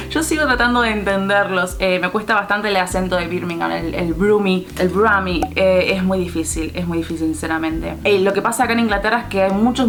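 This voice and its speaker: Young argentine woman talking to her camera, chatty and laughy, lots of restarts, mid-pitched voice. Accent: Argentine Spanish. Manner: chatty, laughs often, many restarts and fillers. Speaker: vlogger answering viewer questions. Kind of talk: casual chat to camera.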